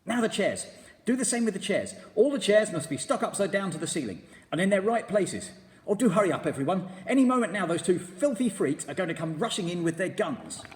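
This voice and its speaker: A high, eager monkey barking out orders in a rush of excitement as a man puts him on for a storybook reading. His voice leaps up and down and trails off into a long reverb. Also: brisk. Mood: excited bossing. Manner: excited and commanding. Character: excitable bossy male monkey leader.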